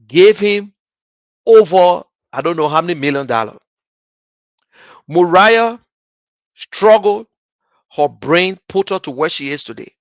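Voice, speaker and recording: Liberian man ranting slowly. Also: high-pitched, no echo. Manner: starts slow and soft, grows loud and heated. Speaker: political commentator. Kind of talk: talking straight to camera.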